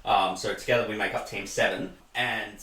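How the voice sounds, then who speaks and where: A man's voice: on the low side, fairly even intonation, moderate pace, sharp tone, distant mic. A man with an Australian accent, chatting casually as the host of an interview with voice actors. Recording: echoey room, off the mic.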